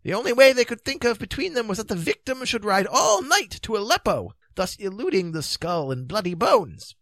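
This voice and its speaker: A man's voice: sits high, big pitch swings, moderate pace, fairly bright tone, dry recording, voice pushed up, exaggerated voice. A man voicing a wise, whimsical old male wizard who muses and lectures in a storybook reading. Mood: storytelling, dramatic.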